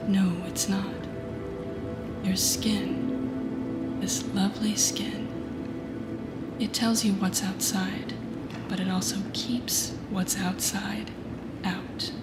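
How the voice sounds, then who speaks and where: A woman playing a confident, teasing telepathic villain in an intimate audio roleplay, speaking softly and often near a whisper in a soothing, guiding way. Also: medium pitch, flat delivery, extremely slow, long pauses. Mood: soothing, instructive. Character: teasing, confident female telepathic villain coaching a rookie hero. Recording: echoey indoor pool sound, music behind. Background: music.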